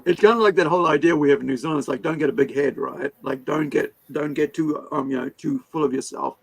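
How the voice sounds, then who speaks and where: Gravelly-voiced man, rambling host. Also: fairly high pitch, fast talker, a little hiss or hum. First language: Hindi. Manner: rambling, many fillers and restarts. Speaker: podcast host. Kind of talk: casual interview chat.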